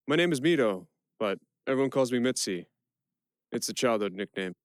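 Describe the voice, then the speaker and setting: A man playing a quick-witted prisoner who narrates his own tale in a first-person audio play. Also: medium pitch, expressive, dry recording, studio quality, close to an everyday voice. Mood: conversational.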